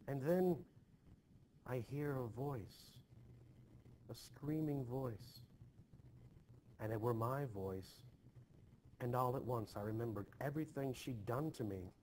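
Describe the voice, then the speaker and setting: A man voicing an anxious, self-important eighteen-year-old servant girl in an old-fashioned play, with excitable, rustic archaic speech. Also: medium pitch, takes it slowly, long pauses, a little hiss or hum, natural voice. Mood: frightened, dramatic. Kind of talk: expressive play reading.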